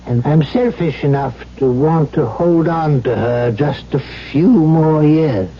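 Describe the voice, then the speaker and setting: Casting: elderly brooding scientist-father for radio drama, higher-pitched male voice, slow, halting and formal. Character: old doctor, secretive experimenter, fatalistic possessive father. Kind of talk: 1970s radio drama acting. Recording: muffled, noisy 1970s radio broadcast.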